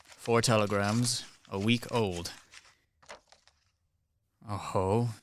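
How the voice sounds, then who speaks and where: A man's voice: on the low side, fairly even intonation, extremely slow, a little hiss or hum. A man in a radio play acting a practical, unsentimental army captain with a businesslike manner. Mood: matter-of-fact. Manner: matter-of-fact, clipped, businesslike. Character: brisk, practical Swiss soldier, unsentimental captain.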